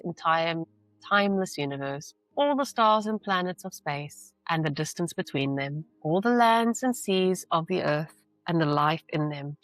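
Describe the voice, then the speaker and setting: A woman teacher reading a novel aloud with deliberate, emphatic phrasing and brief explanations. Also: on the low side, fairly bright tone, no echo.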